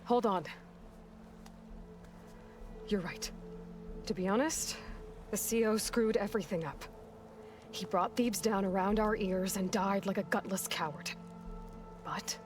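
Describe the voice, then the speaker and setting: A resolute young hunter heroine in a video game, voiced by a woman, speaking firmly and evenly at a mid pitch. Game music plays loudly under her. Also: natural voice. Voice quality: firm and level. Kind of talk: video game cutscene acting.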